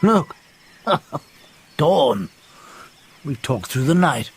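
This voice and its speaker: An older British actor playing a probing yet genial old envoy in a radio drama. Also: male voice, fairly high pitch, sing-song, extremely slow, husky, full character voice. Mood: genial, weary.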